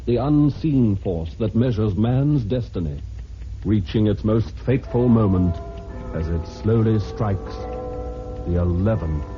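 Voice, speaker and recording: A man's voice: lowish voice, animated, unhurried. A man playing a solemn announcer intoning the opening of a vintage radio mystery in a grave, portentous way. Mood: ominous. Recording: muffled old radio, loud music.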